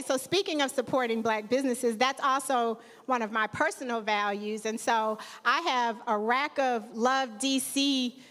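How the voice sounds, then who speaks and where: A woman's voice: high voice, a bit bright. A woman hosting a live event in a theater, chatting informally and playfully with the audience. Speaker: event host. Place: theater stage. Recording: live hall with long reverb.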